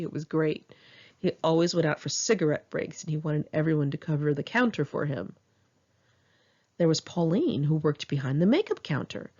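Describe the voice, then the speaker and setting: A woman with a fairly low voice reads her memoir aloud in an informal audiobook style. She is candid and wry, slipping into chatty, self-mocking asides. The recording is somewhat muffled and lo-fi. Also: a little mellow. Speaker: author reading own memoir. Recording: dull, muffled lo-fi home recording.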